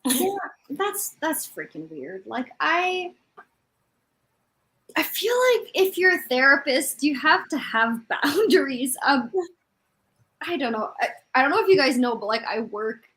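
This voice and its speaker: A woman's voice: sits high, sing-song, measured pace, a bit bright. A woman chatting casually about books, blunt and opinionated in how she talks. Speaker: guest on a book reading livestream.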